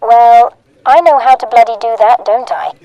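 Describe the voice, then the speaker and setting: A woman's voice: a little high, moderate pace, no echo, muffled recording, faint music behind, lightly acted. A woman playing a blunt, sardonic young woman with a sweary, irritable manner in a drama. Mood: irritated, impatient. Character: brash, foul-mouthed, irritated young woman customer. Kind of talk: scripted drama dialogue.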